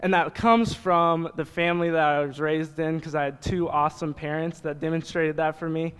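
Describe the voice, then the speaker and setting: Young american guy youth pastor, smooth clear voice kinda high, chatty joking sermon. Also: level tone, normal speed, fairly bright tone. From Nebraska, United States. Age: young adult. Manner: casual, joking, conversational asides. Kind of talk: informal sermon to a congregation. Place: church hall, speaking to congregation. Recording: reverberant hall sound.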